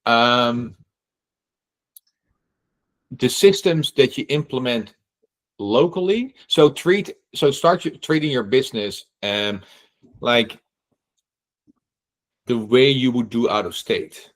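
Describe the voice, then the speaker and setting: A middle-aged man from the Netherlands with a Dutch accent, answering questions at a real estate meetup in an animated way, acting out quotes and often asking "right?". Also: mid-range voice, slow pace, long pauses. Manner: animated, acts out quotes, frequent restarts and "right?". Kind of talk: casual Q&A talk.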